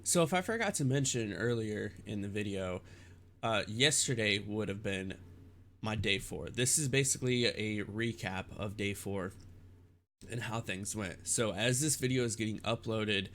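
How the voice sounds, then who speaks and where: A young man with a congested, stuffed-up nose, rambling in a halting casual vlog about his streaming. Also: fairly low pitch, fairly bright tone, slight background noise. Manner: rambling, halting with many restarts and fillers. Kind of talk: casual vlog talk to camera.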